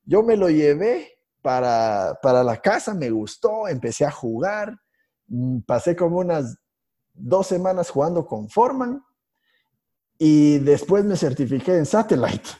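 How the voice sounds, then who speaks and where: A man co-hosting a podcast, telling stories in a halting way with many restarts and fillers. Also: fairly high pitch, big pitch swings, dark tone. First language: Spanish. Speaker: podcast co-host, IT instructor. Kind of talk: casual podcast conversation.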